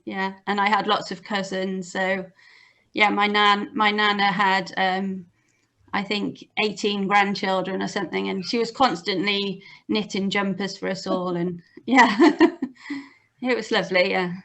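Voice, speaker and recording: Female, young adult. Slow, gentle, conversational storyteller on a home video call. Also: mid-range voice, steady tone. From Cardiff, Wales. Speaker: interview guest. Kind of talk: casual personal storytelling. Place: small home room with bookshelves, on a video call. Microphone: computer mic on video call.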